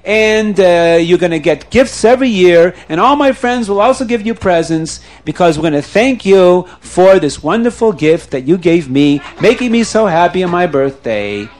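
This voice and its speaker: Man playing an excitable high-voiced storybook count. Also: normal speed, few pauses. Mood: grateful, generous. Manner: emphatic, exclaiming. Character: excitable nobleman count, delighted, grateful, generous man. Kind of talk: children's storytelling.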